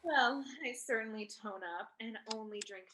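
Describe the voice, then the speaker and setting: A woman's voice: a little high, normal speed, pushed and bright, slight background noise. A woman acting a vain, glamorous, wealthy socialite who gushes about herself in a staged play reading over a video call. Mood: self-satisfied. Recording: roomy video-call audio.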